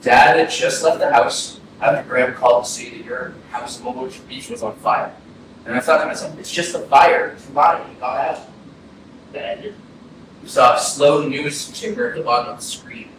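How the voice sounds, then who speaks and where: A man's voice: medium pitch, moderate pace, close to an everyday voice. A man acting in a staged reading as a grieving young man, telling his story in a reflective dramatic monologue. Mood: reluctant, haunted. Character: grieving young man recounting a friend's death. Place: arts center stage, distant mic. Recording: very echoey hall, distant, clear noise.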